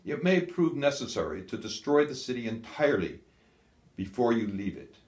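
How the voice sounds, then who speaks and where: A man's voice: medium pitch, sing-song, moderate pace, darkish tone, voice pushed up, natural voice. A man voicing a curt, scornful German field marshal, a commanding war veteran, in an audiobook. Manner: curt, authoritative. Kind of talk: audiobook character dialogue. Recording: echoey, roomy sound.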